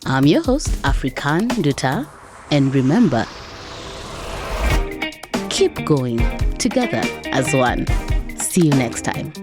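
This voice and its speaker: A woman's voice: extremely low pitch, very animated, slow pace, pauses often. A woman hosting and narrating a scripted documentary, with music playing behind her. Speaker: host. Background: background music.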